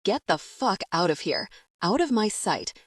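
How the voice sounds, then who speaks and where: A woman's voice: mid-range voice, very animated, unhurried, no echo, clean studio sound. A woman voicing a hot-tempered young male mob heir who talks bluntly and swears in an audiobook. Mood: furious. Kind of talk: audiobook character reading.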